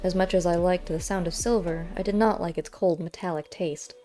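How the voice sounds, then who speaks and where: A woman reading a first-person story aloud as an audiobook narrator, measured and expressive. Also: lowish voice. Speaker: audiobook reader. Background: faint music.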